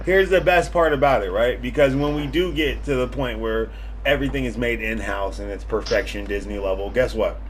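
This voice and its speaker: A man's voice: mid-range voice, fairly quick, slight background noise, poor audio. A man in his thirties from Vermont, hosting a casual podcast with brash, profane rants. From Vermont, USA. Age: 30s. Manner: brash, ranting, heavy swearing. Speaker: podcast host and streamer.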